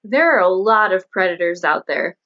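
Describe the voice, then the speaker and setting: Woman reader doing a motherly older lady cop scolding gently, audiobook, slightly muffled recording. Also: on the low side, animated, normal speed. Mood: motherly warning. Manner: motherly, admonishing. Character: matronly, cautioning older policewoman, veteran investigator. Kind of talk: audiobook character dialogue. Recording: dull lo-fi audio.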